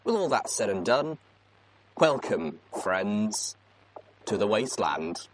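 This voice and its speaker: Man, show host, lively swooping intonation, theatrical scripted patter, slight background noise. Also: on the low side, slow. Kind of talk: upbeat scripted show intro.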